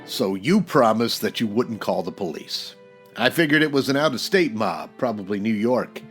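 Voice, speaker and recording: An actor playing a gruff, sardonic 1940s private detective in a radio comedy parody, terse and full of tough-guy slang. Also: on the low side, animated, normal speed, faint music behind, lightly acted. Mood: terse, wary. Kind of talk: old-time radio detective parody with narration.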